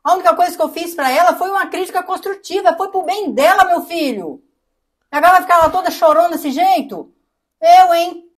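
Casting: actress as domineering Brazilian mother, high voice, dismissive, guilt-tripping, domestic drama. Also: brisk. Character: indignant, domineering mother of a grown son.

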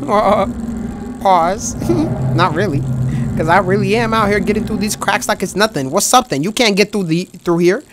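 Hoarse, excitable young male streamer. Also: high voice, normal speed, noisy background. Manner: loud, excitable, trash-talking, laughing. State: hoarse, losing his voice. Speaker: gaming streamer. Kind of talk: horror game commentary. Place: home streaming setup. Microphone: headset.

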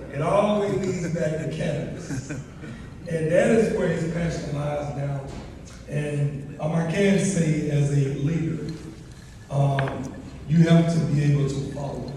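A man's voice: fairly high pitch, dark tone. A man giving a podium speech as an award presenter, heard through a distant microphone in a ballroom. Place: hotel ballroom stage. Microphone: podium mic recorded by audience phone. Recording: echoey hall, distant microphone, room noise.

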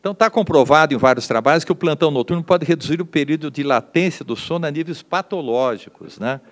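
A middle-aged Brazilian man giving a conference lecture at a podium microphone in an auditorium, measured and dotted with fillers and tag questions. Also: fairly high pitch. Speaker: lecturer at a medical forum.

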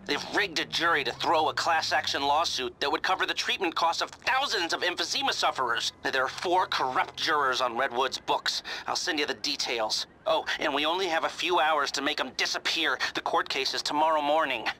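A man playing a shrewd, smooth-talking, scheming businessman in a video game, businesslike and sly. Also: on the high side, fairly quick, few pauses, sharp tone, a little hiss or hum, rough recording. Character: shrewd scheming businessman handing out dirty jobs. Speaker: video game character giving a job. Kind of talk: scripted game dialogue.

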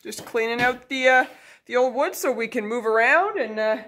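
A woman's voice: fairly high pitch, normal speed, fairly bright tone, slight background noise. A woman in her thirties chatting casually as she films her farm chores in a large wooden barn, with many fillers. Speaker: farm vlogger. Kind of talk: casual vlog narration. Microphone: phone at arm's length.

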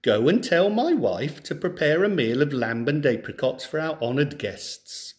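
A man's voice: fairly high pitch, animated, a little slow. A man voicing a wily, confident farmer in a storybook tale, with emphatic, theatrical delivery. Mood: cheerfully cunning.

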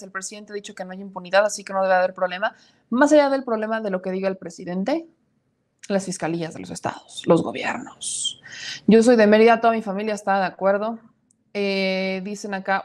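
A woman in her thirties hosting a live-streamed news show from a small home studio, reading viewer comments aloud and adding emphatic opinions. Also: medium pitch.

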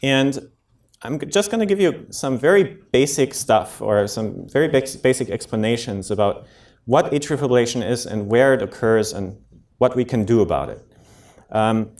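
A German-accented man in his thirties gives a friendly talk on heart rhythm to a community audience. He explains things plainly, often pausing with ums and restarting sentences. He speaks into a microphone in a lecture room. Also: medium pitch, lively intonation, a little mellow. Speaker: cardiologist giving a public health talk. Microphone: handheld and podium microphone.

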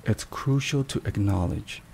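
Deep, very slow male ASMR narrator. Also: vocal fry. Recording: steady rain and thunder noise. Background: rain and thunderstorm sounds.

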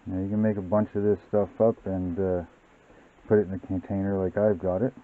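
Man, very low voice, hobby tutorial host, casual rambling explanations, thin phone-like audio. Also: fairly quick. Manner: relaxed, rambling, many fillers. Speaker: model-building channel host. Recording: tinny, phone-like sound.

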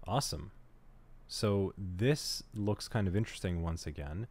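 Relaxed man walking through a tutorial. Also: fairly low pitch. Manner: relaxed, conversational, thinks aloud while working. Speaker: cybersecurity instructor. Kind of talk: screen-recorded tutorial walkthrough.

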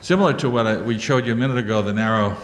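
A man's voice: mid-range voice, racing. An older man presenting a lot plan to a planning board in a council chamber, speaking into a handheld mic with many fillers and restarts. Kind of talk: explaining a lot plan at a public meeting. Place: council chamber with wood paneling. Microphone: handheld mic, walking around. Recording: echoey chamber with light noise.

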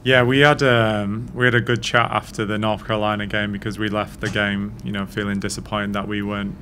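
A man in his thirties, a head coach answering post-match questions at a press conference in a measured, thoughtful way, speaking into a desk mic. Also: fairly low pitch, level tone, pushed and bright, a little hiss or hum. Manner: measured, thoughtful, frequent restarts. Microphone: desk mic on stand.

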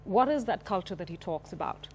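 A woman's voice: fairly low pitch, lively intonation, a little fast, darkish tone, breathy edge. A woman, presenting and interviewing as a business news anchor in a television studio. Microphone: broadcast studio mic.

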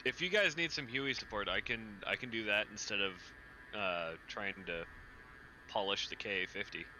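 Bright, laid-back man on game voice chat. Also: on the low side, moderate pace, a little hiss or hum, poor audio. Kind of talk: casual gaming chat.

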